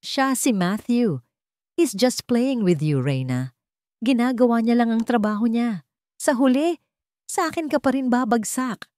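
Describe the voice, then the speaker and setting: A woman playing a scheming young man in a radio drama, speaking gently with feigned concern and a taunting edge. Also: on the high side, expressive, a little slow, long pauses, no room sound, voice pushed up. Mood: taunting, smug. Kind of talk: Tagalog radio drama storytelling.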